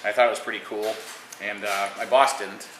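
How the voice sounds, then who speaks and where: A man's voice: lowish voice, flat delivery, normal speed, a bit bright. An American man from Phoenix, a rock musician talking in a casual band interview, joking and swearing freely. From Phoenix, Arizona, USA. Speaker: band musician, guitarist and singer. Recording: roomy echo, faint noise.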